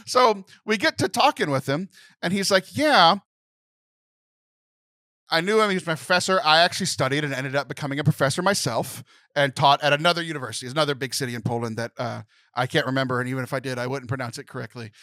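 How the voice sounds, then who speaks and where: Young man, podcast co-host, storytelling, high lively voice, laughs a lot, dry close sound. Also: fast talker, dry recording. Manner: animated, laughs often, mimics voices.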